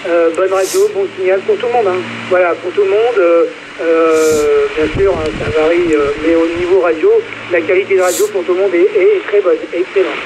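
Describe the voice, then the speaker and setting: A man's voice: sits high, fairly even intonation, moderate pace, few pauses. A man giving short, casual signal reports as an amateur operator over a radio transmission. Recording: noisy amateur radio reception.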